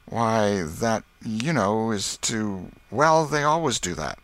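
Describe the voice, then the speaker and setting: A man's voice: on the low side, lively intonation, slow pace, a bit bright, no echo, pitched above the performer's own voice, heavily acted. A man voicing an eager, boastful young schoolboy in a storybook, with a folksy, insistent way of talking. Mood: coaxing.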